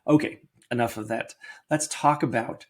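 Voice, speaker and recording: A middle-aged man with a slightly crackly voice hosting a casual personal health podcast, talking candidly and explaining in a measured way. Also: mid-range voice. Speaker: podcast host.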